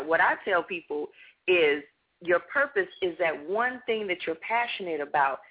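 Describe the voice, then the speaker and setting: A woman's voice: lowish voice, husky. A woman guest giving motivational, preachy advice about purpose in a radio interview. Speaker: guest, natural hair business owner. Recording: tinny phone line.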